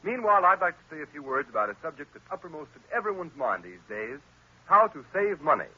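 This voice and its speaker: Bright-voiced man, old radio announcer. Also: fairly high pitch, very animated, fast talker, slightly rough. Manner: persuasive, emphatic. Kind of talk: radio commercial read. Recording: dull lo-fi old radio recording.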